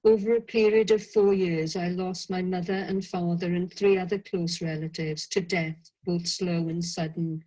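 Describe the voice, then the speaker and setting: Welsh valleys woman reading her own writing, lowish voice, slow and thoughtful. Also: fairly even intonation, dark tone, rough recording. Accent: South Wales Valleys. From South Wales Valleys. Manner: slow, reflective, measured delivery. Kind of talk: scripted reading of personal prose.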